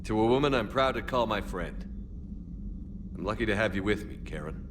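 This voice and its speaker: A man's voice: fairly low pitch, animated, moderate pace, noisy background, natural voice. A man playing a serious, resolute human military commander in a video game drama. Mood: warm, sincere. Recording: game score playing behind.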